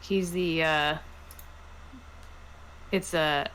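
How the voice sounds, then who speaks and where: A woman's voice: sits low, fairly even intonation, drawn-out words, a bit bright, slight background noise, rough recording. A woman playing a tabletop roleplaying game, talking casually and slipping into character.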